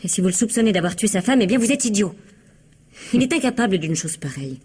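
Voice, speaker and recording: An actress playing an indignant, evasive young woman suspected of murder in a radio mystery, with a husky, breathy, flustered delivery. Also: mid-range voice, animated, fast talker, darkish tone. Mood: angry. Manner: flustered, hesitant, protesting. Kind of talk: French radio mystery drama acting.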